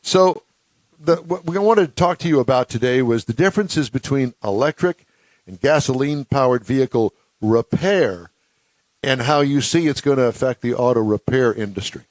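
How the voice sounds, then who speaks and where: A man's voice: medium pitch, expressive, moderate pace, a little mellow, dry recording. A man hosting a radio talk show from a studio, folksy and joking, sometimes tripping over long introductions.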